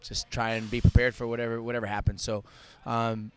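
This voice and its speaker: A man talking casually as a podcast guest, relaxed and joking, with many fillers. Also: medium pitch, fairly quick, clean tone. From United States, Cuban family. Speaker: co-founder of a fitness festival, podcast guest.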